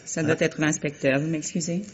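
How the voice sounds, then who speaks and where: A woman's voice: low voice, fairly even intonation, normal speed, slight background noise. A woman playing a dignified, middle-aged novelist under suspicion, poised and dryly pointed, in 1950s French radio mystery style. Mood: cool, wry. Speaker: actor. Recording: dull vintage radio recording.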